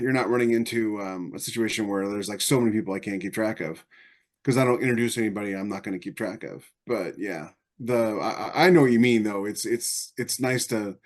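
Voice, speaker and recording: Lowish-voiced man chatting on a podcast. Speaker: podcast panelist, science fiction author. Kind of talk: casual podcast discussion.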